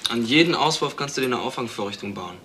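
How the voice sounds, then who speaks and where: A factual police weapons expert in a radio drama. He rattles off details quickly, with slight noise behind him. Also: medium pitch, animated, sharp tone. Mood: matter-of-fact. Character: matter-of-fact male police weapons expert. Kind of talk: German crime radio drama acting. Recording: faint background noise.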